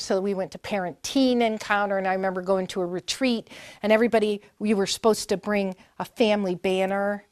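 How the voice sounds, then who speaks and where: A woman in her fifties from Maine speaks in a middle-pitched voice, recorded dry and close. She rambles cheerfully through family stories, full of "um"s and restarts, as a guest at a library talk. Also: no echo. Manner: chatty, rambling with many fillers and restarts. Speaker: performer and guest. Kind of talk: conversational interview storytelling.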